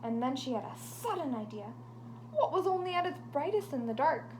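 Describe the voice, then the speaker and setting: A woman reading a picture book aloud to children, animated and dropping into whispers for suspense, with chatty asides to her young listeners. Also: sits high, brisk, a little hiss or hum, poor audio.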